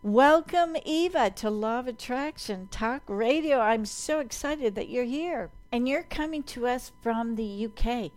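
A woman hosts a talk radio show, enthusiastic and upbeat. She talks slowly and deliberately. Her voice sits slightly high.

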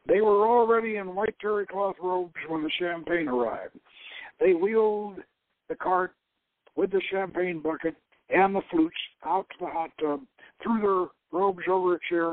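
A man's voice: high voice, normal speed, dry recording. A man giving a dramatic narration of an adventure novel as host and author, now and then stumbling and rereading a word. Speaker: host reading his own novel. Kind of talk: dramatic audiobook-style narration. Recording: narrow phone-like line.

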